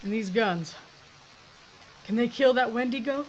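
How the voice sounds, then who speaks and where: A woman's voice: a little high, a little slow, darkish tone, lightly acted. A woman playing a blunt, bossy sheriff. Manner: blunt frontier drawl, barking orders. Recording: slightly dull, faintly noisy recording.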